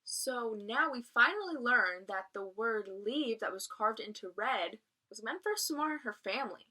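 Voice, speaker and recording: A woman reading a children's novel aloud and pausing to explain it in a casual way. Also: a little high, animated, pushed and bright. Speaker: reader of a children's novel read aloud, explaining as a teacher would. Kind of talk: read-aloud narration with casual explanatory asides.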